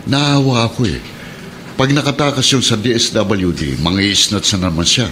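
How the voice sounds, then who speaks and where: Man acting low-voiced, kind Filipino police sergeant. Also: normal speed, fairly bright tone, a little hiss or hum, lightly acted. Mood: pleading. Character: kind-hearted, patient police sergeant, a husband. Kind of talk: Filipino radio drama acting.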